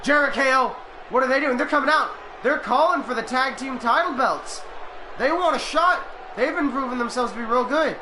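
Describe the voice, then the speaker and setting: A young man doing play-by-play commentary on a video game, excitable and bursting into shouts on big moves, his voice going hoarse and cracking into coughs. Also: very high-pitched, normal speed, noisy background. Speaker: host commentating a simulated game.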